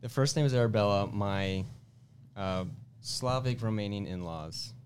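A man's voice: fairly low pitch, unhurried, a little mellow, slight background noise. A man in his twenties, a guest coworker in a casual chat, joking and bursting into laughter. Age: 20s.

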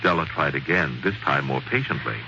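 A man narrating a 1940s radio drama in a theatrical, measured storytelling manner, on a muffled vintage broadcast recording. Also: extremely low pitch, noisy background. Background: prominent music.

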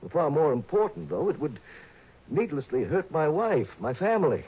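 An actor playing an anxious middle-aged stockbroker who is being blackmailed, fretful, pleading and refined, in a 1960s radio drama. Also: male voice, on the high side, big pitch swings, moderate pace, slightly rough, lo-fi sound, full character voice.

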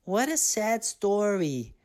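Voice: medium pitch, very animated, slow pace, darkish tone, pitched above the performer's own voice. A storyteller voicing a sleepy, tearful little mermaid girl in a plaintive, childlike way for a folk tale. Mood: sad, sleepy.

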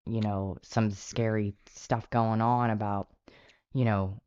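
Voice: mid-range voice, flat delivery, takes it slowly, a little mellow, clean tone, highs cut off. A podcast co-host giving hesitant, thoughtful replies in a casual film discussion.

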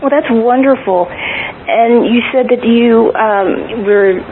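A woman's voice: on the high side, slow pace. A woman hosting an interview, asking questions in a casual, encouraging way with frequent short affirmations. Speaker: interview host. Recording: tinny phone line with faint hiss.

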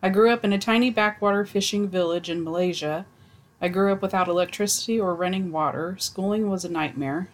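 Woman reading posts out loud, dry jokey asides, swears a bit. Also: lowish voice, a little fast, a little hiss or hum. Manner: wry, deadpan asides and swearing. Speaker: co-host reading stories aloud. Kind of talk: casual chat reading posts aloud.

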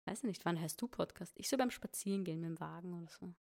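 Female co-host and mom, low voice, gravelly, chatty and quick. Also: darkish tone. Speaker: podcast co-host, mother. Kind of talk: casual parenting chat.

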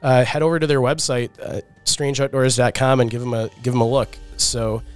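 A man's voice: mid-range voice, little pitch movement, fairly quick, clean tone, faint music behind. A man co-hosting a podcast in casual chat, a little congested from a cold, talking loosely with many fillers. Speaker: podcast co-host.

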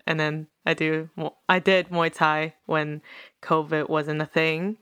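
A woman's voice: deep voice, little pitch movement, a little slow, fairly bright tone, clear, resonant tone, dry recording. A young woman from Taiwan, a university art student, chatting casually as a podcast guest and laughing often. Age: young adult. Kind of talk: casual chat.

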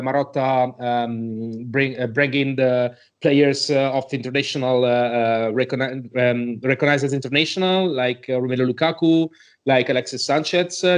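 A man giving his opinion on a podcast, speaking hesitantly in non-native English and searching for words. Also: mid-range voice. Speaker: podcast panelist.

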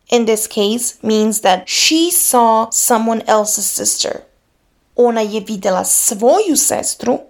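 Woman teaching grammar. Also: a little high. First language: Serbian. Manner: measured, explanatory, switches into Slavic example phrases. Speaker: podcast host teaching linguistics. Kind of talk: scripted explanatory lesson.

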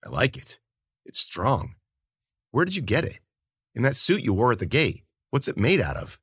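A man's voice: medium pitch, wildly swooping pitch, normal speed, a bit bright, breathy edge, no echo, studio quality, pitched above the performer's own voice, full character voice. A man voicing an injured young warrior general, full of bewildered questions.

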